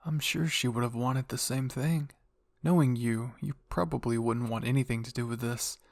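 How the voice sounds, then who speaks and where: Guy as a sad teen boy blaming himself, earnest, medium pitch, anime fanfic drama, dry recording. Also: fairly even intonation, normal speed, gravelly, no room sound, close to an everyday voice. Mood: dejected. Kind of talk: dramatic fan fiction roleplay acting.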